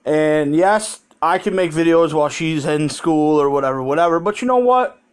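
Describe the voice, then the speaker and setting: An American man with a slightly high voice speaks as a casual storytime vlogger. He rambles conversationally and swears freely. He is recorded on a phone held in his hand. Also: moderate pace, smooth. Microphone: handheld phone.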